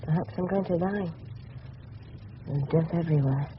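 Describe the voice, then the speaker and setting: An actor playing a polite, earnest and anxious English schoolboy in a radio drama. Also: male voice, a little high, moderate pace, natural voice. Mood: miserable. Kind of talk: 1970s radio drama acting. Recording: muffled, noisy old radio broadcast.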